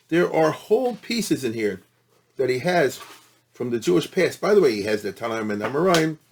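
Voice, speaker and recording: A man's voice: mid-range voice, husky. A male rabbi lecturing informally on religious history, with conversational asides, checking in with listeners and using Hebrew and Yiddish terms.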